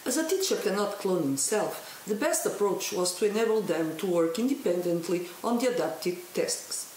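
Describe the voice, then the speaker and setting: A woman from Portugal, a maths teacher, giving a scripted presentation in a clear, even way. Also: mid-range voice, moderate pace. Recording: echoey room with faint hiss.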